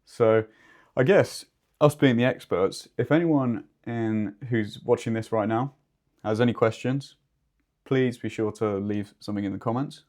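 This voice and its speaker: A man co-hosting a business discussion as an expert, explaining in a measured, wordy, conversational way. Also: medium pitch, a little mellow. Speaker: podcast co-host and two-way radio expert.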